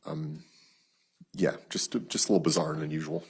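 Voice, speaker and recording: A man with an American accent giving formal, halting, matter-of-fact courtroom testimony as a police detective. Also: rumbling low, fairly even intonation, a little mellow, a little hiss or hum.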